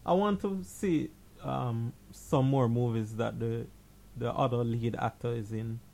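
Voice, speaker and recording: A man's voice: mid-range voice, measured pace, creaky, dark tone. A man co-hosting a movie podcast, chatting casually, teasing his co-host and laughing a lot. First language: not Danish; speaks English. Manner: laughing, teasing, blunt opinions. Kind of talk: casual chat about movies.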